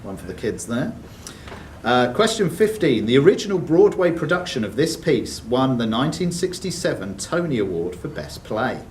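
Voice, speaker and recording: Man, quizmaster, animated intonation, medium pitch, scripted questions, distant mic, faint background noise. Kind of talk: live quiz reading with scripted questions and brief banter. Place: sports and social club room.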